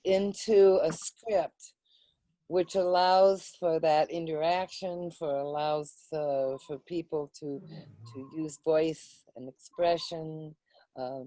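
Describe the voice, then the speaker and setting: A woman's voice: low-pitched, steady tone, slow pace, mellow, no room sound, low-quality recording. A woman explaining an idea in a group discussion. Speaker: reading group participant.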